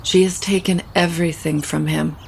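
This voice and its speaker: A woman's voice: lowish voice, little pitch movement, moderate pace, gravelly, a little hiss or hum, low-quality recording. An actress playing a genteel, protective middle-aged mother who holds grudges, in an audio soap opera. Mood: bitter.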